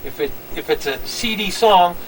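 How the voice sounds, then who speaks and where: A man with a fairly high voice hosting a radio oldies show in quick, chummy patter. He sounds a little off the mic, with a light hiss in the background of an old broadcast tape. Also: animated, a bit bright, distant mic, rough recording. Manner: upbeat, chummy, fast patter. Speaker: radio disc jockey host.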